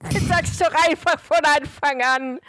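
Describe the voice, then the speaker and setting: A woman's voice: high voice, unhurried, fairly bright tone, no echo, soft background music. A woman bantering casually as a podcast co-host in a blunt, teasing way.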